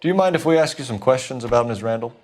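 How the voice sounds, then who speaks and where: An actor with an American accent playing a brisk, courteous, probing police detective in a naturalistic video game style. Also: male voice, medium pitch, animated, a little mellow, lightly acted.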